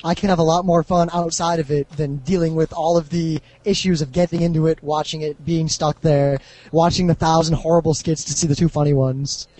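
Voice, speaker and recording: A man's voice: fairly high pitch, little pitch movement, brisk, mellow, dry recording, low-quality recording. A man in a casual podcast chat, talking frankly and matter-of-fact. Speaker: podcast guest.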